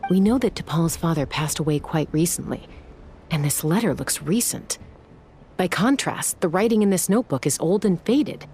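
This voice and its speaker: A sharp, level-headed heroine, with a notably low voice. She reasons through each point in a measured, steady way. Faint hiss behind the game dialogue. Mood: deductive. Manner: measured, deductive.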